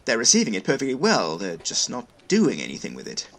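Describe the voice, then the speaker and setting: An actor playing a brisk, wordy station logistics manager in a sci-fi audio drama, explaining things with dry wit. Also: male voice, medium pitch, huge pitch swings, creaky, fairly bright tone, heavily acted. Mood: careful, apologetic. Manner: chatty, wry, long-winded explanations.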